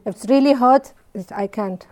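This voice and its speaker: A woman from Iraq leading a hands-on bread-making workshop, explaining steps in simple, halting English with fillers. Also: fairly high pitch, darkish tone. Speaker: baking workshop teacher. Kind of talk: hands-on cooking demonstration. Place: community hall kitchen.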